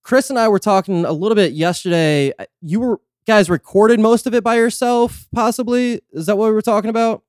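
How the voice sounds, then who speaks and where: A man hosts his own podcast in a loose, joking way and drops the odd curse. His voice sits a little high and sounds smooth and crisp. The sound is dry and close. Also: brisk, few pauses, darkish tone, no echo. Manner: joking, laid-back, swears freely. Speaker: podcast host. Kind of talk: casual podcast interview.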